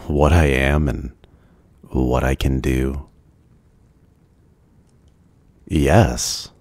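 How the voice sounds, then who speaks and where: A man's voice: very deep voice, no echo. A man playing a lonely, courteous immortal vampire in an intimate ASMR roleplay, speaking formally and hesitantly. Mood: curious, amused.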